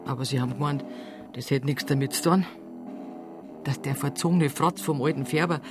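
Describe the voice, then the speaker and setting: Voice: medium pitch, normal speed, over music, close to an everyday voice. A performer playing an aggrieved, talkative small-town local with a Bavarian accent in a radio drama, rambling in dialect. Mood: aggrieved, grumbling. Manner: grumbling, rambling dialect talk. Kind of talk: naturalistic radio crime drama acting.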